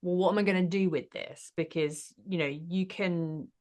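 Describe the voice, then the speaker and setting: Deep-voiced woman host, thoughtful. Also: steady tone, normal speed, darkish tone. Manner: thoughtful, summarizing back. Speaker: podcast host. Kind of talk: podcast conversation.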